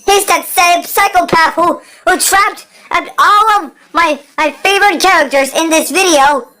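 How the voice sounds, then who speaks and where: A woman voicing an excitable, lovelorn female fish creature in fan cartoon clips, theatrical and self-pitying, halting mid-sentence. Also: extremely high pitch, heavily acted, poor audio. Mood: outraged.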